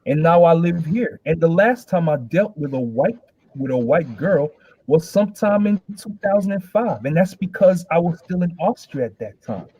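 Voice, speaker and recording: Mellow-voiced man from Nigeria. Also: on the high side, lively intonation, breathy edge, no echo, low-quality recording. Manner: measured, hesitant with fillers. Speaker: debate panelist. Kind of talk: online debate, casual.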